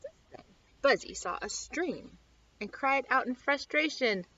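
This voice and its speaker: A woman reading a children's picture book aloud expressively, with dramatic, drawn-out emphasis on key words. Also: high voice, animated, bright, forceful tone, breathy edge. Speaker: story time reader. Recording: dull lo-fi sound.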